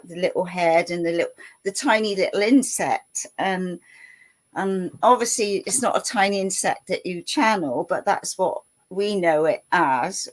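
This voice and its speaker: A woman hosting an interview show, chatty and friendly with many "um"s. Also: mid-range voice. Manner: friendly, chatty, filler-laden. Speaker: show host. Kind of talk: interview host.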